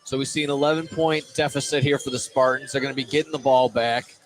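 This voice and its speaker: A man's voice: mid-range voice, level tone, quick pace, faint music behind. A man giving live play-by-play commentary as a basketball announcer. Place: indoor gym at a basketball game. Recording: loud gym noise behind the voice. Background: loud game ambience.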